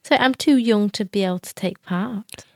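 A woman's voice: medium pitch, moderate pace, no room sound, studio quality. A woman in her late thirties co-hosting a podcast, casual and teasing in banter. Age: 30s. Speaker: podcast co-host. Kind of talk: casual chat.

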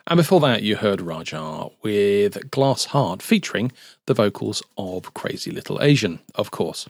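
A man's voice: lowish voice, lively intonation, measured pace, vocal fry, breathy edge, no echo, clean studio sound. A man co-hosting a music podcast, chatting casually between tracks with rambling asides. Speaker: podcast co-host. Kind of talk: casual radio-style chat between tracks.

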